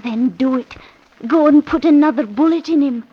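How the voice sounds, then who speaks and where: An actress playing a passionate, fickle young bride in a theatrical radio melodrama. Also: female voice, high-pitched, normal speed, close to an everyday voice. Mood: cold, urgent. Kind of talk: old-time radio melodrama acting. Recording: muffled old radio recording.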